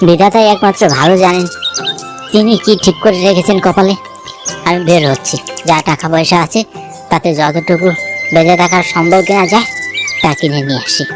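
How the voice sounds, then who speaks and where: A woman's voice: lowish voice, brisk, pauses often, music in the background, natural voice. A woman voicing a poor village husband in a cartoon story, earnest, resigned and protective. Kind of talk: Bengali cartoon story dialogue.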